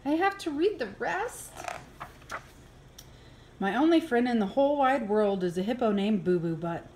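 A woman reading a children's picture book aloud in an expressive, playful way, with exaggerated reactions. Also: on the high side, normal speed, slight background noise, low-quality recording. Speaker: early childhood program coordinator reading aloud. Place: indoors, filmed over an open book.

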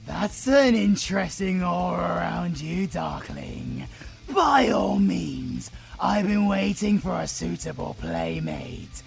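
A hungry, sadistic male monster from a supervillain audio roleplay. He draws his taunts out slowly and theatrically, in a creaky voice that swings widely. Faint music plays behind him. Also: high-pitched, very animated, full character voice. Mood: gleeful threat. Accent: British.

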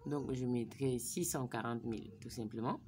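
A woman with a low, deep voice talks through a screen-recorded phone tutorial. She thinks aloud while typing in numbers, sometimes sinking to a quiet murmur. Her voice is picked up by a phone microphone, with a faint hiss behind it. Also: normal speed. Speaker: vlogger.

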